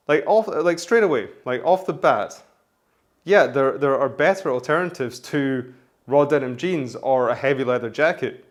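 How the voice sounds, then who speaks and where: Hoarse man chatting in a vlog. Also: on the high side. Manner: rambling, chatty, frequent restarts. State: hoarse from flu. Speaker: menswear vlogger. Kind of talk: casual vlog talk.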